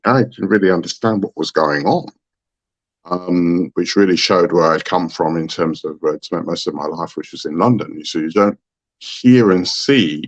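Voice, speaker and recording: A man from Trinidad, a guest on a talk show, telling a story in a thoughtful, halting, unhurried way. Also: sits low, muffled recording. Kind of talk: reflective storytelling in conversation.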